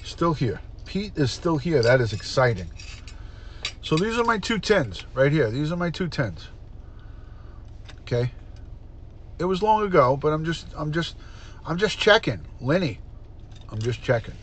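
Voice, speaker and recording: A man's voice: a little high, big pitch swings, a little slow, long pauses, a little hiss or hum. Congested middle-aged man chatting with viewers. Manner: chatty, greets and answers chat viewers by name. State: congested, stuffed-up nose, sniffling. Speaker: retired police detective, livestream host. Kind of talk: casual livestream chat with viewers.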